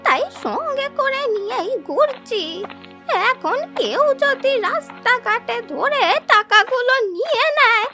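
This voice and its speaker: Very high-pitched old village woman. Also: lively intonation, takes it slowly, sharp tone, soft background music, full character voice. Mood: anxious, musing. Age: older. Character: old village woman, humble, anxious, indignant. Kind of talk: cartoon voice acting.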